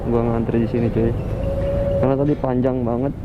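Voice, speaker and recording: A man's voice: medium pitch, little pitch movement, a little fast. A man with a colloquial Jakarta Indonesian accent chatting casually and slangily as he vlogs about life as a motorcycle taxi driver. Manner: informal, slangy. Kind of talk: casual rambling vlog chat. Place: outdoors on a motorbike in city streets. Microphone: helmet intercom mic. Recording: muffled, loud engine and street noise.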